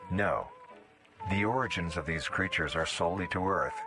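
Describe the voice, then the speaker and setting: A man reading scripted educational narration in a measured, formal, clinical manner. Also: deep voice, music in the background. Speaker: documentary narrator.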